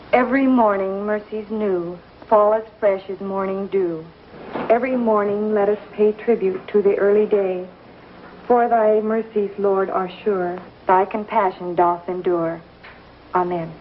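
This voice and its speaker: A woman's voice: medium pitch, steady tone, unhurried, close to an everyday voice. A woman playing an earnest Old West schoolteacher with proper, precise diction in a vintage TV western. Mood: solemn. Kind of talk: 1950s TV western acting. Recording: dull vintage TV soundtrack, faint noise.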